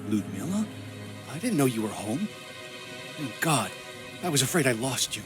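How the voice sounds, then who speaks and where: A man's voice: medium pitch, big pitch swings, moderate pace, over music, lightly acted. An actor playing a troubled, guarded man in a video game, speaking tersely and broodingly. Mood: relieved. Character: troubled, guarded man searching for his missing sister. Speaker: main character of a video game. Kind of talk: scripted game voice acting, brooding monologue and dialogue.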